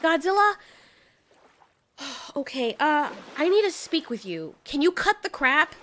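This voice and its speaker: A woman's voice: sits high, sing-song, a little slow, fairly bright tone, slight background noise, pitched above the performer's own voice, full character voice. A woman voicing a proud, testy giant moth monster queen in an audio drama, demanding and exasperated. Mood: impatient.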